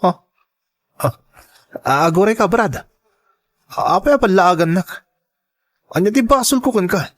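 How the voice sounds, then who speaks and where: A man with an Ilocano Filipino accent playing an earnest, lovestruck man in a radio drama, with a husky voice. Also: high-pitched, expressive, measured pace. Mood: puzzled, alarmed. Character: Donato, earnest lovestruck man confiding his troubles.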